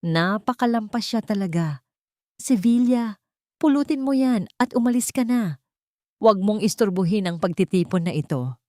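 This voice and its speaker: A woman's voice: mid-range voice, animated, normal speed, dry recording, studio quality, voice pushed up, close to an everyday voice. A woman voicing a scheming middle-aged woman, falsely sweet and ingratiating, scolding servants in an audio drama story. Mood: sharp, scolding. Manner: insincere, ingratiating, sharp when ordering servants.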